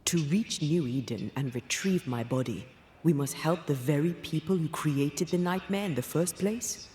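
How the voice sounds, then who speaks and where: A woman's voice: low voice, moderate pace, dark tone, close to an everyday voice. Resolute ghost hunter for a game , female actor: earnest, questioning, guarded, slight background noise. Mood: sceptical, questioning. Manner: direct, questioning. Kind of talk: dramatic video game acting.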